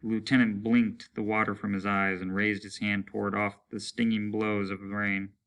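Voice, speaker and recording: Bright-voiced man narrating a story. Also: fairly low pitch, level tone, normal speed, clear, resonant tone. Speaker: teacher reading a story aloud. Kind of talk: dramatic scripted narration.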